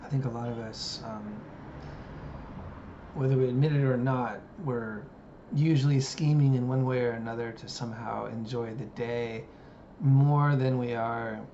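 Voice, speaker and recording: Man, late thirties, reflective vlogger, hesitant fillers, dull lo-fi sound with steady background noise. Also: mid-range voice, a little slow. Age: 30s. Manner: musing, hesitant, many fillers. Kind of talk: reflective personal monologue. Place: living in Japan.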